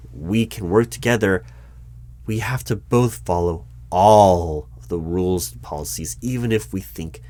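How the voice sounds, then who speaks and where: A man playing a nervous, exasperated hiring manager at a secret bureau in an ASMR roleplay interview, with an officious, wordy, apologetic manner. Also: deep voice. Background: computer keyboard typing.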